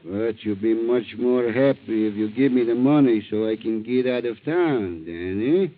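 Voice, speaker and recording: A man's voice: medium pitch, animated, close to an everyday voice. Male actor as falsely friendly fisherman blackmailer, broken English, swooping intonation, thin phone-like vintage radio sound. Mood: slyly menacing. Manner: broken English, insinuating, drawn-out threats. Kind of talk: 1940s radio acting. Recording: tinny, narrow-band 1940s radio recording.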